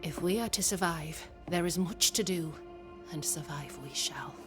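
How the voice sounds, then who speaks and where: A woman's voice: fairly low pitch, a little slow, close to an everyday voice. A woman playing a determined colonist leader in a game cutscene, with an intense, declamatory delivery. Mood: resolute. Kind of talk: dramatic game cutscene acting. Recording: music clearly behind the voice.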